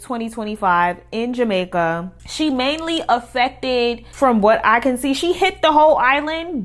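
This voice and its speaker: A young woman with a slightly high voice talks straight to her viewers in a casual commentary vlog. She speaks American English with Trinidadian Caribbean roots, and she drifts playfully into island dialect and street slang. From United States, Caribbean roots. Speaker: commentary YouTuber.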